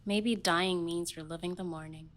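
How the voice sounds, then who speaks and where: A woman's voice: lowish voice, steady tone, moderate pace, a bit bright, close to an everyday voice. A young woman playing a wry, restless newlywed wife in an audio play, with a dry, detached manner. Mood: distant, musing. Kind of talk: stage play audio reading.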